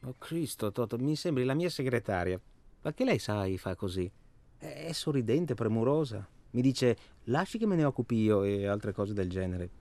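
A worn-out, restless man in a naturalistic radio drama. His voice sits at a middle pitch. He rambles with agitation and needles his companion with sardonic remarks. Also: sing-song, moderate pace, darkish tone, no room sound. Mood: sardonic, insistent. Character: weary, restless man tormented by his job, needling talker.

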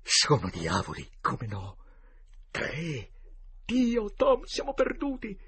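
A man's voice: deep voice, sing-song, a little slow, fairly bright tone, slightly rough, dry recording, exaggerated voice. A man voicing a fearful, superstitious country boy who mutters and quavers, in an audiobook story. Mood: terrified.

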